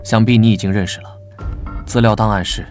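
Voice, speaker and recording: A man playing a cool, guarded young vice-captain of knights in an animated drama, restrained, curt and dry. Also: fairly low pitch, a little fast, soft background music, lightly acted.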